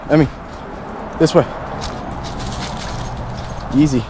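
A man's voice: on the high side, fairly even intonation, slow pace, background noise. A man casually vlogging while he walks a dog through the woods, rambling and swearing as he talks to the camera. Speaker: vlogger exploring places. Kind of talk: casual walking vlog. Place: woods outdoors.

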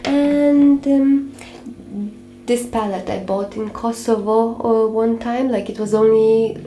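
A woman's voice: a little high, steady tone, slow pace. Hesitant young woman vlogging, roomy sound. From Lithuania. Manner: hesitant, many fillers and restarts. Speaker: beauty vlogger. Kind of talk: casual chat to camera. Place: small home room, indoors. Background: soft background music.

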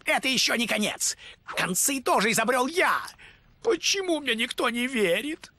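A man playing a cranky, boastful little inventor in a cartoon game, with a creaky, whiny voice. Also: very high-pitched, big pitch swings, normal speed, bright, forceful tone, soft background music, full character voice. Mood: conceited, indignant. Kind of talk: cartoon video game dubbing.

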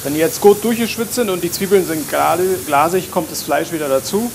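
A young man, a chef, calmly explaining a dish step by step with a few filler pauses, on a lapel mic. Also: fairly high pitch, gravelly. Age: young adult. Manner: relaxed, plain explaining with frequent filler pauses. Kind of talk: cooking demonstration. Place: garden, greenhouse and restaurant kitchen. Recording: sizzling kitchen noise behind. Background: kitchen noise and sizzling pan.

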